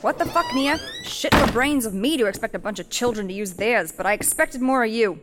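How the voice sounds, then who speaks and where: A woman's voice: a little high, lively intonation, fairly quick, very noisy, close to an everyday voice. An actress playing a brash, hard-bitten thief queen in a fantasy audio drama, blunt, profane and commanding, with long reverb. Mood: furious.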